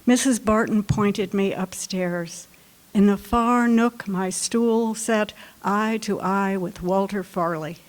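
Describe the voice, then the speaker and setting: A woman's voice: medium pitch, poor audio. A woman poet at a library reading who introduces and reads her own poems in a light voice. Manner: light voice, unhurried and deliberate. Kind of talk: poetry reading. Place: library event room.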